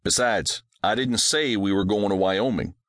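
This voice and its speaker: A man playing a devout widowed rancher father from Texas, speaking softly and patiently to his young daughter. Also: on the low side, normal speed, no echo, clean studio sound, natural voice. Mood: patient, gentle. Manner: soft, patient, reassuring.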